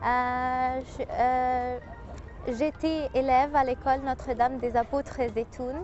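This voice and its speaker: High-voiced young Egyptian woman, hesitant. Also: level tone, a little mellow, slight background noise. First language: Arabic. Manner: hesitant, many pauses and fillers. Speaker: guest, French teacher. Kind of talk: interview answers. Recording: dull lo-fi TV audio with faint music.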